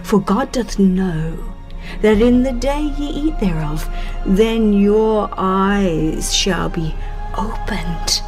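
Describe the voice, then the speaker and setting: A woman voicing a cunning, persuasive serpent tempter in a dramatized religious audiobook, with an insinuating, drawn-out delivery. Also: mid-range voice, lively intonation, a little mellow, faint music behind. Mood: sly tempting.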